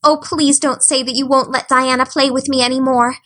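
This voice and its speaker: Casting: woman as a theatrical young orphan girl, bright and high, flowery and pleading. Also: flat delivery, brisk. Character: dramatic eager orphan girl, about eleven.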